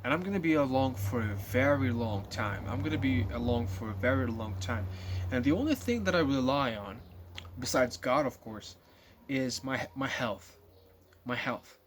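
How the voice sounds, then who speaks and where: A man's voice: medium pitch, lively intonation, normal speed, slight background noise. Rambling nineteen-year-old man vlogging. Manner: rambling, many fillers and repeated restarts. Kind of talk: casual spoken monologue to viewers.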